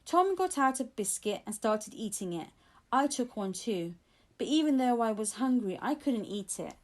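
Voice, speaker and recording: A woman's voice: a little high, lively intonation, moderate pace. A woman reading a children's story aloud as an audiobook narrator. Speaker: audiobook reader.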